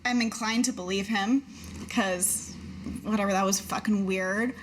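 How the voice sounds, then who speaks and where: A woman's voice: mid-range voice, fairly even intonation, unhurried, poor audio. Casual woman gamer, faint background noise. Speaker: tabletop game player. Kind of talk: casual improvised role-play chat.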